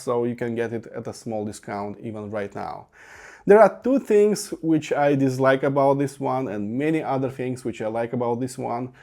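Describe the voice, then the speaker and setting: A man's voice: mid-range voice, a little mellow. Man reviewing audio gear. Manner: detailed, enthusiastic product walkthrough with occasional fillers. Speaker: product reviewer. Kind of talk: scripted tech review.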